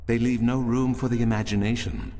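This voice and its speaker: An actor playing a solemn older priest in a fantasy game, telling ancient lore in a measured, formal, portentous way. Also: male voice, mid-range voice, fairly even intonation, mellow, a little hiss or hum, close to an everyday voice. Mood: solemn, knowing. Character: solemn older male temple priest, a storytelling loremaster. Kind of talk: grand scripted fantasy narration. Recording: music behind the voice.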